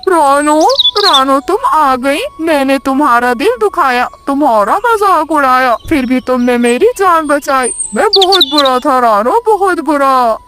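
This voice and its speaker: A woman's voice: high voice, animated, measured pace, few pauses, background noise. A woman voicing a boastful crow in a theatrical, emphatic cartoon moral-story style. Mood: remorseful. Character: boastful greedy crow, later humbled and remorseful.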